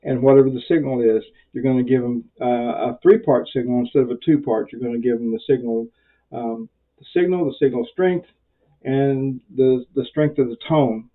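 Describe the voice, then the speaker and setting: A man's voice: mid-range voice, moderate pace, narrow, tinny audio. American man teaching informally, rambling delivery. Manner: rambling, conversational, self-deprecating. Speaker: amateur radio instructor. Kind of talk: informal spoken tutorial.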